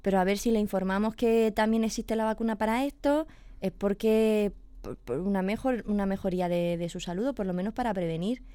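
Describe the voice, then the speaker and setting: A woman's voice: mid-range voice, brisk, mellow, clean tone, no echo. A woman, a veterinarian explaining pet illnesses patiently on a radio chat, checking in with her listener as she goes. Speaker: veterinarian guest.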